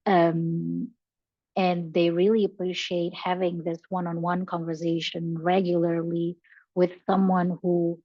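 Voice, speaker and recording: A young woman from Indonesia talks casually as a podcast guest, with a low-set voice. She works through her answers with fillers and restarts. Her video call audio is dull and lo-fi, and very dry. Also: level tone, measured pace, dry recording. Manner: thoughtful, with frequent fillers and restarts. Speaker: podcast guest, learning and development professional. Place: bright room with large windows. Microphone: headphones on a video call.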